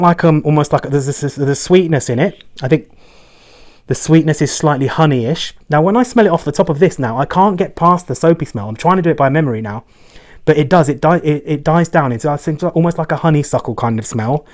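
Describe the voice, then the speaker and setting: A man talking casually on a fragrance review vlog, rambling along and often checking in with a quick "right?". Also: a little high, darkish tone.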